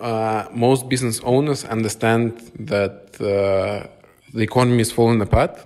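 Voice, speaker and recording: A man from Moscow with a Russian accent, answering interview questions conversationally with pauses mid-sentence. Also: medium pitch, darkish tone.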